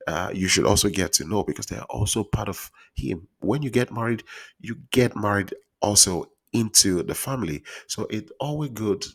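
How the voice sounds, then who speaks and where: Deep-voiced Nigerian man giving advice. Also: expressive, normal speed, husky. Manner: earnest, repetitive, addresses viewers as guys. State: speaking softly while traveling. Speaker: relationship advice vlogger. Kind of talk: casual advice monologue to camera.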